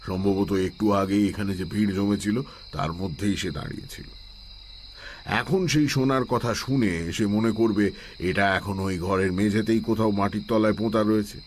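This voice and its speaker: Low, measured village holy man played by an actor. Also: slight background noise, close to an everyday voice. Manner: measured, philosophical, chanting Sanskrit verses slowly. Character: wise, calm village holy man, shrewd and learned. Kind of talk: Bengali audio-drama acting. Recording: steady night insect ambience behind.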